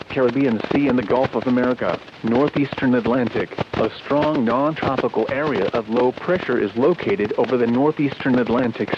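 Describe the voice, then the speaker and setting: A man delivering a scripted weather forecast as a radio announcer, with a measured, impersonal synthetic delivery over a radio broadcast. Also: mid-range voice, dull audio, noisy background. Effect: radio broadcast sound.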